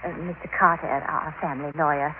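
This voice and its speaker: An actress playing a genteel, anxious and apologetic younger spinster sister in a 1940s radio drama, speaking politely and formally. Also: female voice, low-pitched, steady tone, fairly quick, natural voice. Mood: polite, fretful. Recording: noisy, tinny vintage radio broadcast.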